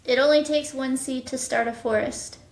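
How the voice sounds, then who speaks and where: A woman with a fairly high voice chats casually as an interview guest. She talks as a creative producer and writer. The recording has a strong roomy echo. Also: fairly even intonation, moderate pace. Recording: echoey, roomy sound.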